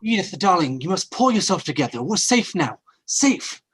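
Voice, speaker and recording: An actress playing an anxious woman, nervous and halting. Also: fairly low pitch, very animated, slightly rough. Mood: comforting. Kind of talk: old time radio drama acting.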